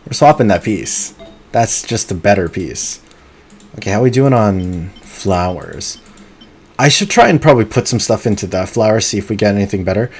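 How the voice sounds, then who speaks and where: A man streaming a video game live, chatting casually and muttering to himself between bursts of excitement. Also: on the low side, normal speed, husky, soft background music. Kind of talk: live gameplay commentary.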